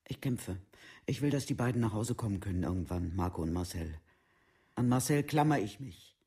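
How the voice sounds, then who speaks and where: Anguished mother, very husky voice, insistent, plain-spoken, defensive, radio play monologue. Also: a bass voice, warm tone, full character voice. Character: Jutta, anguished, defensive mother of accused sons.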